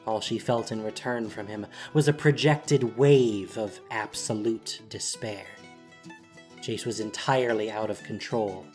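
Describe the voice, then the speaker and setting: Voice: mid-range voice, steady tone, measured pace, darkish tone, soft background music. A narrator reading a scripted, dramatic story for an audio drama.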